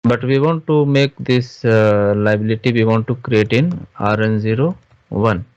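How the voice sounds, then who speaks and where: Male software trainer, dull lo-fi sound. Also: on the low side, no echo. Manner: halting, frequently checks understanding with questions. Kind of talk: informal screen-share tutorial, explaining step by step. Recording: dull, lo-fi online class audio.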